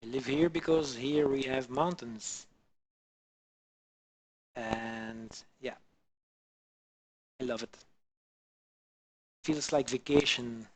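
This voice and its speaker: A man from Belgium chatting casually with his live-stream viewers on a headset mic while modelling, halting and full of fillers. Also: medium pitch, no echo, low-quality recording. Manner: halting, many fillers, answers chat viewers. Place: home in the Alpine region.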